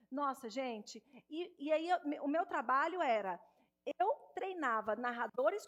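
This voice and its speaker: A woman in her thirties with a Minas Gerais accent, a speech therapist giving an animated stage talk straight to the audience in an auditorium. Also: high-pitched. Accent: Minas Gerais Portuguese. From Minas Gerais, Brazil. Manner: warm, animated, addresses audience directly.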